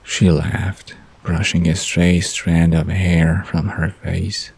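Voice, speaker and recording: Deep, gravelly, slow male storyteller. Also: flat delivery, darkish tone, no echo. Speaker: narrator. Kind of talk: scripted story narration for bedtime audio drama.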